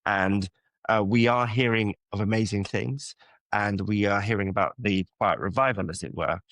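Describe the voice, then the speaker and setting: A man's voice: fairly low pitch, fairly even intonation, normal speed, a bit bright, no room sound. A man from the London area, a church youth ministry worker, answering interview questions in a thoughtful, hesitant way with many ums. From England (London area). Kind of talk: podcast interview guest.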